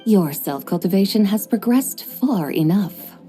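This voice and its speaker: A woman's voice: medium pitch, animated, measured pace, warm tone, faint music behind, close to an everyday voice. A woman voicing a drowsy, formal mystic woman with grand, old-fashioned manners in a game cutscene. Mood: stately.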